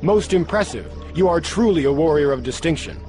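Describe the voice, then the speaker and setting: A man's voice: on the high side, animated, fairly quick, music in the background, close to an everyday voice. A male actor playing an earnest, polite martial arts hero in a fighting game, formal and respectful. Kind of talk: fighting game cutscene acting.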